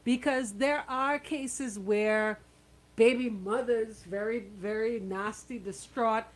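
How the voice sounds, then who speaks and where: A woman's voice: fairly high pitch, fairly even intonation, slow pace, rough recording. A woman with a Caribbean accent hosting a casual radio discussion, speaking bluntly and indignantly with pointed rhetorical questions. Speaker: radio host.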